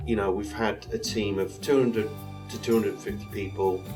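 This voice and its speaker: Easygoing low-voiced man from an animation team. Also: steady tone, moderate pace, music in the background. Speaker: animation studio member. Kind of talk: interview answers.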